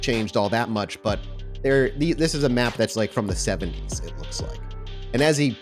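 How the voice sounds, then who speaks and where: A man with a mid-pitched voice runs a tabletop game as storyteller. He improvises his narration over a soft music bed. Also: lively intonation, normal speed.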